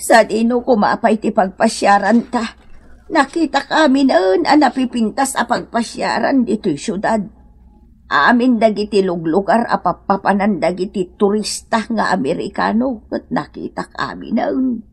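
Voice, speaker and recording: A woman's voice: mid-range voice, sing-song, measured pace, vocal fry, husky, exaggerated voice. A woman with an Ilocano accent playing a stubborn, plaintive old beggar mother in a radio drama, exclaiming and wailing. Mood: protesting.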